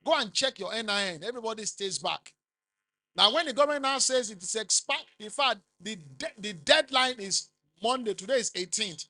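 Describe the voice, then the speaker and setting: A middle-aged man with a Nigerian accent giving a business seminar talk, rising loudly for emphasis and quizzing the audience. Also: high voice, lively intonation, normal speed. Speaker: conference speaker.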